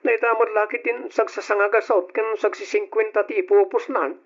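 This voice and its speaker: Meek, put-upon young Ilocano nephew, slightly high voice, muffled radio drama. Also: male voice, steady tone, fairly quick. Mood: puzzled.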